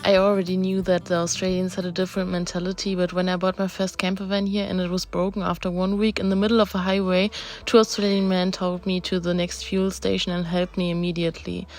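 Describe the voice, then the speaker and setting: A woman's voice: on the low side, little pitch movement, fast talker, a little mellow. A young German woman with a German accent, hosting and narrating a casual interview with housemates in a friendly, chatty way.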